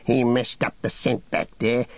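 A man's voice: medium pitch, steady tone, a little slow, a little mellow, no room sound, muffled recording, voice pushed up. A man reading a story, voicing a small, quarrelsome male orc tracker who snarls and whines. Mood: taunting. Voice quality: snarling, nasal.

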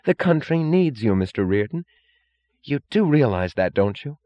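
A man's voice: mid-range voice, very animated, fairly quick, dry recording, lo-fi sound, voice pushed up. A man voicing a righteous, avid bureaucrat who preaches duty in a fervent way. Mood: startled enthusiasm.

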